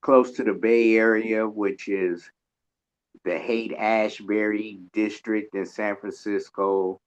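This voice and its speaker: A man in casual chat on a radio show, halting as he searches for words, over a dull lo-fi online connection. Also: fairly low pitch, fairly even intonation, smooth.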